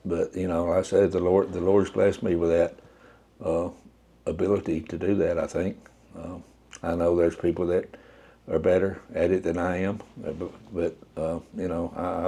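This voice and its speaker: An older man who works as an undertaker, from Appalachia, speaking in a soft, halting way with many restarts in a reflective interview. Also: sits low, creaky, husky.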